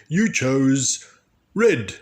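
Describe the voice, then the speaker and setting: A man's voice: mid-range voice, big pitch swings, drawn-out words. A man voicing a cheerful father pig who plays magician, announcing grandly in a storybook reading. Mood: theatrical guessing.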